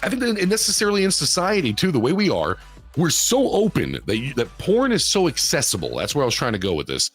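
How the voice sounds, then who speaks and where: A man pushing forty, talking loosely on a live podcast. His husky voice leaps wildly up and down as he riffs, full of swearing and constant checks with his listener, with soft music in the background. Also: a little high, fast. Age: 30s. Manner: animated, profane, repeats "you know what I'm saying". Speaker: podcast co-host. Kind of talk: casual chat.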